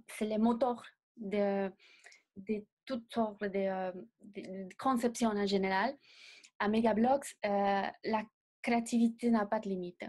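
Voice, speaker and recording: Young woman, not her first language, talks flat and even, stops a lot to find the word. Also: mid-range voice. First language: not French. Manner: measured, pausing often to find words. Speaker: designer guest. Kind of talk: interview answers.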